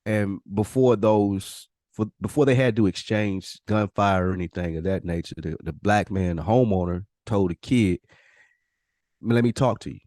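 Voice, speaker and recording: A man's voice: fairly low pitch, normal speed, a little mellow, no echo, studio quality. An American man hosting a podcast in a casual, reflective way, feeling out his wording as he goes. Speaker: podcast host.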